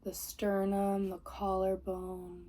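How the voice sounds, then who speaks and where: A woman leading a guided meditation as a teacher, giving gentle, soothing, unhurried instructions. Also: mid-range voice, monotone. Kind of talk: guided body scan meditation.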